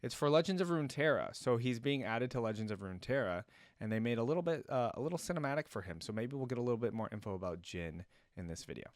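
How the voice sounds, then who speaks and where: A man's voice: medium pitch, lively intonation, quick pace, dry recording, clean studio sound. A man hosting a reaction video, musing aloud in casual, rambling, hesitant commentary.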